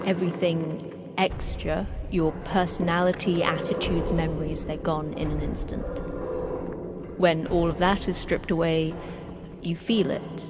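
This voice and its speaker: An actress playing a terse, guarded manager of a secret facility in an audio drama, speaking in a measured, blunt way. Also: female voice, lowish voice, heavy background noise, natural voice.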